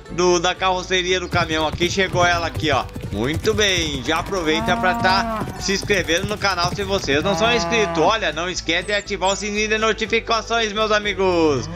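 A high-pitched man chats his way through toy farm play, talking to viewers as his friends. He stretches out long herding calls to the cattle. Music plays loudly behind his voice. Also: fairly quick. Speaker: toy farm channel host. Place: outdoors in a dirt yard with toy animals. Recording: background music under the voice.

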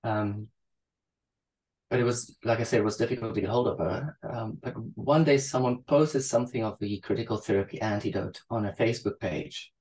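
A man's voice: lowish voice, darkish tone, rough recording. A man in his thirties with a South African accent, a psychologist speaking thoughtfully as a guest in a video-call interview. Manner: thoughtful, measured, with restarts. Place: small room indoors. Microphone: video call webcam.

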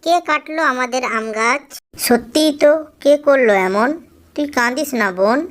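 High-voiced earnest sister bird played by a woman. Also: measured pace. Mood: distressed. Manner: earnest, emotive storybook delivery. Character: caring, earnest young female bird, two devoted sisters.

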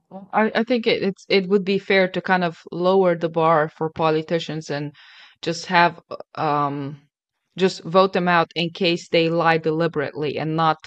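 A young woman explaining her thoughts step by step in a conversational discussion, speaking into a close desk microphone. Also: fairly low pitch. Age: young adult. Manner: explanatory, step-by-step, checking in with okay and right. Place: small indoor room, video call. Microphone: close desk microphone on a boom arm, headset.

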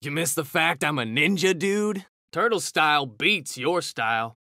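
A man's voice: fairly high pitch, animated, a little slow, sharp tone, no echo, clean studio sound, full character voice. A man voicing a cocky, hot-tempered young mutant ninja turtle, trading brash, slangy taunts in a fighting video game. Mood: cocky, defiant. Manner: brash, slangy trash talk.

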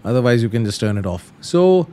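A man's voice: medium pitch, sing-song, fairly quick, warm tone. A man hosting a tutorial, explaining things casually step by step and repeating simple instructions. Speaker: host of flight simulator tutorials.

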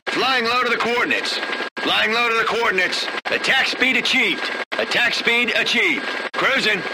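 Clipped American gunship pilot over noise. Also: male voice, exaggerated voice. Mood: brisk, determined. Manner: clipped, terse, commanding. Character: gruff male American attack helicopter pilot in combat. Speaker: game unit voice actor. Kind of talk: military radio barks in a strategy game. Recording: noisy, with vehicle rumble behind.

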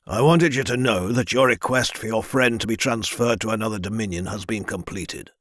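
A man voicing a stern, powerful lord who speaks in a measured, formal way. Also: fairly low pitch, a bit bright, dry recording, clean studio sound.

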